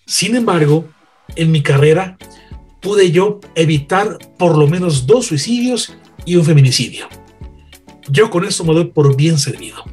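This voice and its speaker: A man who works as a radio broadcaster, speaking in a measured, reflective way during a casual panel chat. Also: a little high, expressive, darkish tone, slightly rough, faint music behind.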